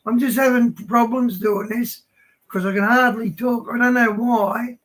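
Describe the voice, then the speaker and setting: A hoarse man hosting a livestream, reading scripture aloud haltingly and chatting casually. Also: very high voice, steady tone, fairly bright tone, low-quality recording. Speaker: livestream host.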